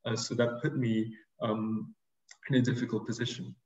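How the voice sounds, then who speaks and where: A man presenting a linguistics paper over a video call, with a steady delivery and frequent fillers. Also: mid-range voice, moderate pace. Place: room indoors. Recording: roomy, distant, dull video call audio.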